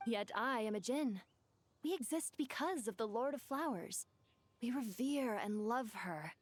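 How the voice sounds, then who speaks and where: A woman's voice: on the high side, expressive, unhurried, dry recording. A woman voicing an ancient, devoted djinn for a video game, speaking in a theatrical, eloquent and formal way. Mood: solemn, yearning. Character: ancient djinn woman, grand, wistful, devoted to her lost mistress. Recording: music behind the voice.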